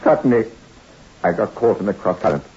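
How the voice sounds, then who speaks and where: An actor playing a distraught male castaway in shock, halting and dramatic, in an old radio horror drama. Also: fairly low pitch, animated, dark tone, breathy edge, full character voice. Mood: haunted recollection. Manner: halting, dramatic, swinging from brooding to pleading. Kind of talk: 1960s radio horror acting. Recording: muffled, noisy old radio recording.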